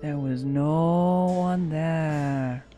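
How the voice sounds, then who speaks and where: A woman's voice: deep voice, extremely slow, mellow. A woman giving a dramatic reading of a story, sweeping in delivery, over background music. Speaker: reader.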